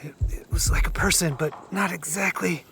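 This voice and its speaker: A man's voice: high-pitched, steady tone, normal speed, creaky, noisy background. An actor playing a frightened young man in an audio drama, urgent and prone to stammering and swearing. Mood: shaken. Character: frightened young man, shaken eyewitness, wary older friend. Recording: music bed under the voice.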